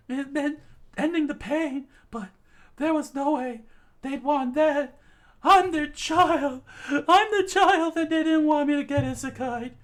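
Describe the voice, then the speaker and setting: A woman voicing an anxious, excitable teenage boy who rambles and stumbles over his words. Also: sits high. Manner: rambling, excitable, breaks into laughs.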